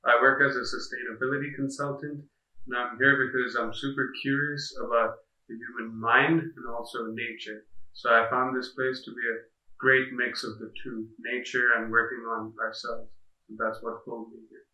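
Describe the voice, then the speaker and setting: A man's voice: mid-range voice, flat delivery, normal speed, bright, forceful tone. A man speaking steadily and articulately in a testimonial. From India. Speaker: retreat participant. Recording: dull, distant, roomy.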